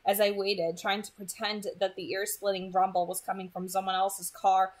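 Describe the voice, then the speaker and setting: Female narrator for first-person fiction read-aloud, mid-range pitch, scripted delivery. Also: little pitch movement, normal speed. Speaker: narrator reading a novel aloud.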